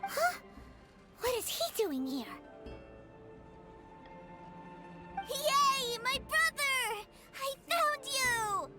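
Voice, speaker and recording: A woman voicing an excitable, childlike little video game character with an eager, dramatic, childish manner. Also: very high-pitched, slow, over loud music, full character voice. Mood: overjoyed. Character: squeaky, excitable childlike little companion and small boy.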